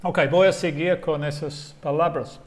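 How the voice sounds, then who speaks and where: A man's voice: a little high, fairly even intonation, unhurried. A British man whose first language is English, teaching an English lesson in Spanish, speaking patiently and repeating each word twice. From Britain.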